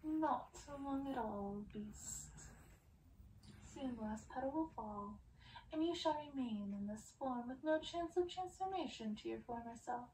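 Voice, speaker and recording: A woman voicing cruel witch sisters from a storybook, mocking and deliberate in their taunts. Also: high-pitched, animated, warm tone. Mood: menacing, taunting. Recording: roomy, distant, faint background noise.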